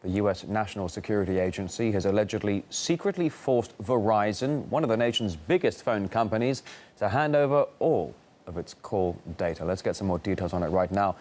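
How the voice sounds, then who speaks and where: A man's voice: lowish voice, lively intonation, brisk, a little mellow. A man anchoring a live news broadcast, presenting and interviewing in a dramatic, emphatic manner.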